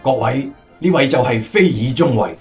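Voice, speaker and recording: A man plays a formal military commander addressing his men with approval. His mid-pitched voice sits a little off-mic, dull, in a radio drama. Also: expressive, normal speed, darkish tone, distant mic, close to an everyday voice. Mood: formal, pleased. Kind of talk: Cantonese radio drama acting. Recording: off-mic, dull sound, faint music.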